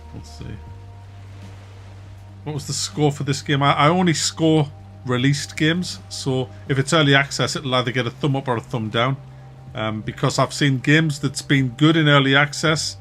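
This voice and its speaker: A man with a Wearside Mackem accent from near Durham, casually chatting with viewers during a game stream, relaxed and chatty, often laughing and trailing off. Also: medium pitch. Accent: Wearside (Mackem) North East English. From North East England, near Durham. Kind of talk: casual live-stream chat reading viewer comments.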